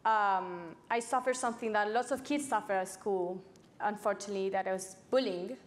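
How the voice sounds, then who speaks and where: Woman of nineteen, Chilean accent, native Spanish speaker, medium pitch, conversational panel talk, addresses listeners. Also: moderate pace. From Santiago, Chile. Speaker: youth climate activist panelist. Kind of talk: personal story in a panel talk. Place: stage at an indoor panel event. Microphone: lapel mic.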